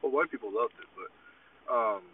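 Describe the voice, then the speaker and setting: A man's voice: medium pitch, measured pace, breathy edge, far from the mic. A man chatting casually as a podcast guest in a car, hesitant and agreeable, often trailing off. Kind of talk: casual chat. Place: parked car. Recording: tinny phone-like audio, faint car noise, off-mic.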